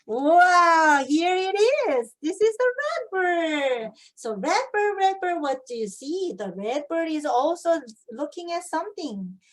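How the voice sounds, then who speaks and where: High-pitched Korean-accented woman reading aloud. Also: slow pace, hardly any pauses. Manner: expressive, asks prompting questions as if to children. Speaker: English teacher, children's book reader. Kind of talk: picture book read-aloud demonstration with tips.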